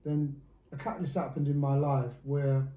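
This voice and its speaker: A man's voice: medium pitch, little pitch movement, normal speed, roomy sound, lo-fi sound. A man leading a friendly coaching session, upbeat and joking about himself. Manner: upbeat, joking and self-mocking. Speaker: life coach.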